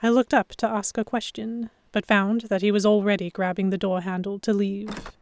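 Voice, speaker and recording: A woman's voice: medium pitch, flat delivery, moderate pace, darkish tone, no echo. Woman narrating, close dry sound. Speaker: narrator and lead heroine. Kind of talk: first-person scripted story narration, dramatic audiobook.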